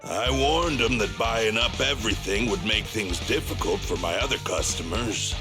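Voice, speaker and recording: A man's voice: on the low side, moderate pace, natural voice. A man voicing an older, wary but helpful scrapyard shopkeeper in a video game. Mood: matter-of-fact. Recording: music behind the voice.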